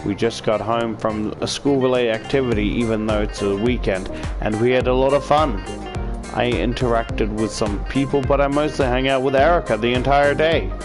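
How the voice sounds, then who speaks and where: A man's voice: medium pitch, steady tone, normal speed. A man narrating a first-person story dramatically and expressively, as if reading a visual novel aloud. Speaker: visual novel reader, YouTuber. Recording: loud background music. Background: loud music.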